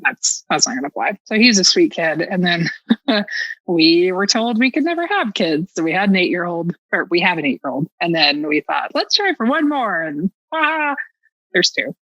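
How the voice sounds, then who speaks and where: An American woman tells a personal story in a lowish, forceful voice. She is candid and sarcastic, slipping into quoted dialogue as she goes. The sound is close and dry but slightly dull. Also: sing-song, moderate pace, no echo. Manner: candid, sarcastic, self-mocking, acting out dialogue. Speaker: podcast guest.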